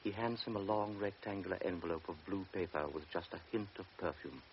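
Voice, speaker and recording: Low-voiced male narrator, steady delivery, vintage radio. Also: brisk, vocal fry. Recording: dull old radio broadcast, faint hiss.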